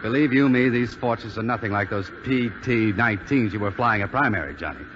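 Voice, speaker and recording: An actor playing a steady, good-natured young American bomber pilot in a 1940s radio drama. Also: male voice, lowish voice, a little fast, lightly acted. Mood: teasing. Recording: muffled, hissy 1940s radio recording, hall reverb.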